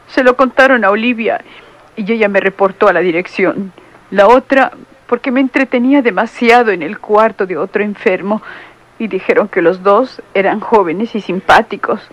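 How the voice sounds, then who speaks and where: Actress playing a chatty young nurse. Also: female voice, mid-range voice, lightly acted, low-quality recording. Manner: pleading, then gossipy and playful. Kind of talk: vintage radio drama acting. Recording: old radio recording.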